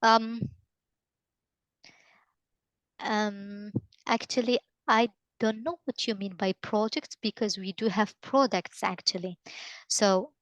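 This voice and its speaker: A woman's voice: medium pitch, fairly even intonation, unhurried, dry recording. A young woman from northern Morocco, a native Arabic speaker with a Moroccan accent, answering hesitantly on a webinar video call with many fillers. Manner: hesitant, many fillers and restarts, friendly advice tone. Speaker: guest speaker from a mapping company. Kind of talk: webinar answer, mixing languages mid-sentence.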